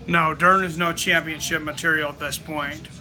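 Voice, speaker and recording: A man's voice: on the high side, steady tone, moderate pace, heavy background noise. A man hosting a live fight companion stream, chatting casually and reading viewer comments aloud.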